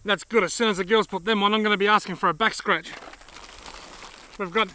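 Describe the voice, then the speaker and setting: An Australian man in his forties, chatting casually to camera outdoors as a vlog host, friendly and quick to laugh. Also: high-pitched, fairly even intonation, pushed and bright, slight background noise. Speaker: fishing channel host. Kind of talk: casual vlog reading viewer mail. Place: outdoors in dry open woodland. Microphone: camera close up at arm's length.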